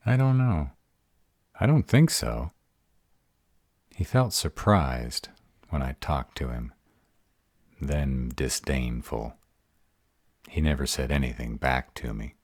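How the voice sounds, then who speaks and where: A man's voice: a bass voice, animated, slow pace, pauses often, darkish tone, dry recording, clean studio sound, exaggerated voice. A man playing a sarcastic, insecure young vampire in an audio roleplay, with a drawling manner. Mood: puzzled, hurt.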